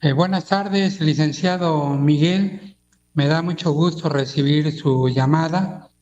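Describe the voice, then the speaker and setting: Slow, formal man on a phone line. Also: fairly high pitch, darkish tone. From Coxquihui, Veracruz, Mexico. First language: Totonac. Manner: slow, formal, lists titles and greetings. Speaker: guest, regional head of a language academy. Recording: dull phone-line audio.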